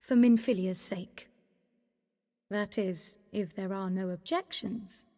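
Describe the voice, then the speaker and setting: A woman acts a determined, loyal scholar in a fantasy game. Her voice is soft-toned and medium in pitch, politely deliberate, with long hall reverb. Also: close to an everyday voice. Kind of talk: formal fantasy game dialogue.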